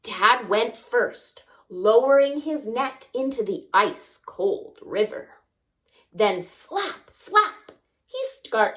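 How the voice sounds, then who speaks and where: Lady doing kids story time, super up and down melodic delivery, takes it slow, chats to the kids. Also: fairly high pitch. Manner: expressive, acts out sounds and asks the listeners questions. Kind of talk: animated children's picture-book read-aloud with asides to young listeners.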